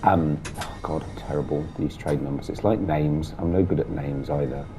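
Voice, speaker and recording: A man chatting casually while driving a train in a simulator game, gravelly with a sore throat and blocked nose, muttering through the controls. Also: extremely low pitch, steady tone, moderate pace, music in the background. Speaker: gameplay streamer. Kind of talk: casual train sim commentary.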